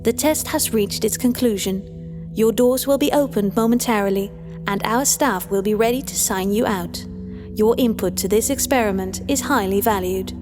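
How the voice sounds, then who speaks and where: A woman voicing a composed female scientist running an experiment, formal and measured, in a horror story. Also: medium pitch, close to an everyday voice. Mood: formal, polite. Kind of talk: horror audio fiction. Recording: long reverb, clear music behind.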